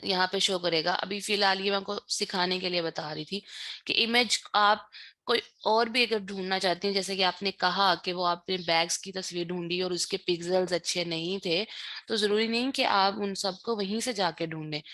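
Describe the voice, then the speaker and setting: A woman giving a live software lesson, explaining step by step, often checking understanding and mixing in English terms. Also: mid-range voice, steady tone, normal speed, dry recording. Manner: mixes English terms and phrases into speech. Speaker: online tutorial instructor.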